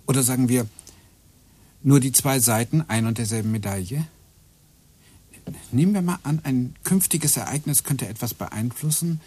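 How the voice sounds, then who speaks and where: An actor playing Graham, a man in a German radio play who muses haltingly about time and particles. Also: mid-range voice, dark tone, breathy edge. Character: brooding man lost in theories of time.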